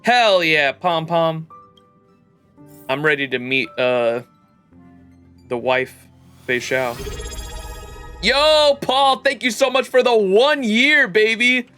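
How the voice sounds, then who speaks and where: A man's voice: high-pitched, lively intonation, slow, pauses often, bright, forceful tone. A man livestreaming a game, chatting casually with viewers in slangy, jokey, profane talk. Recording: game music under the voice. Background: game music.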